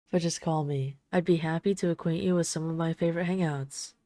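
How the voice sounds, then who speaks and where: Low, mellow flirtatious vampire lady played by a woman. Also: no echo, clean studio sound. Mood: playful, inviting. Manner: teasing, coaxing, deliberate with lingering pauses. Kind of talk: intimate audio roleplay addressed to the listener.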